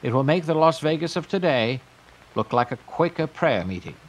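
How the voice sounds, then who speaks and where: A man playing a grandiose, eccentric tycoon pitching his pleasure empire in a declamatory audio drama monologue. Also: expressive, normal speed, rough recording.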